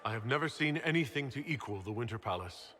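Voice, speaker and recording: A man's voice: mid-range voice, lively intonation, fast, over music, close to an everyday voice. A man playing a courteous but wary lord in a fantasy game, speaking politely and with self-assurance.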